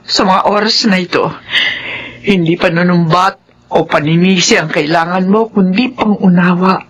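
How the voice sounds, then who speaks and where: A woman's voice: medium pitch, a bit bright, slightly rough. An actress playing an anxious, loving mother in a Filipino radio horror drama, speaking with a Filipino accent in an emotional, pleading way.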